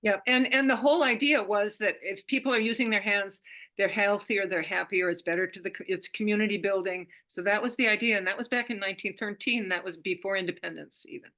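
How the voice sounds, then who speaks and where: An older woman talks over a video call from home, her voice bright and clear. She is chatty and rambling, often breaking off and restarting mid-sentence as she answers questions. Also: mid-range voice, fast. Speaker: guest presenter, weaver.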